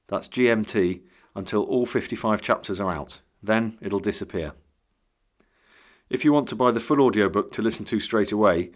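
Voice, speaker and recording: A man speaking as an author and host, giving a spoken introduction to his podcast. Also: fairly low pitch.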